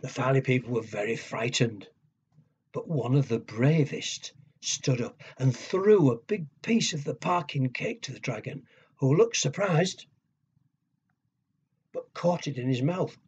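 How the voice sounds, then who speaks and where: A man telling a story to young children, with a husky voice, hushed dramatic pauses and simple questions to his listeners. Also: medium pitch, animated. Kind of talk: children's storytelling and nursery rhymes. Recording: dull lo-fi recording.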